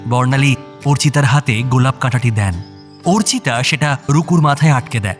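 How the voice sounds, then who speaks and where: A man's voice: medium pitch, animated, normal speed, soft background music. A man narrating a scripted audio story.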